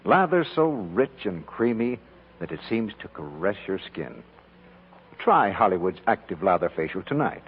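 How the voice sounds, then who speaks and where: A man's voice: on the low side, big pitch swings, moderate pace. A man announcing in scripted 1940s radio commercial style, on a dull, hissy old recording.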